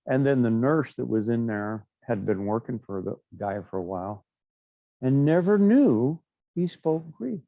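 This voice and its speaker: A man's voice: medium pitch, dry recording, muffled recording. A middle-aged man teaching a class in a chatty, anecdotal way, with frequent fillers and asides. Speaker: instructor. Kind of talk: classroom lecture.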